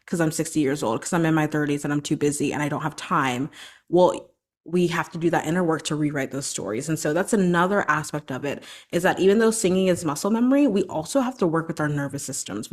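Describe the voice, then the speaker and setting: Chatty woman vocal coach, lowish voice. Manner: chatty and encouraging, frequent "like" and "right?". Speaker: podcast host and vocal coach. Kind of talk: solo podcast monologue.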